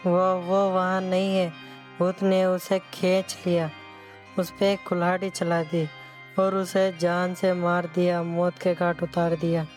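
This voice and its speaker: Lady doing scared village guy for a horror cartoon, lowish, monotone, slow, earnest. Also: faint music behind. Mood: fearful. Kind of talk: scripted cartoon dialogue.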